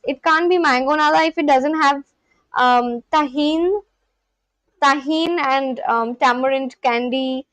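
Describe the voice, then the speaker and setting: A woman's voice: sits high, no room sound. A woman livestreamer chatting casually and practising language phrases aloud, in a chatty way. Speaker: livestream host learning Spanish.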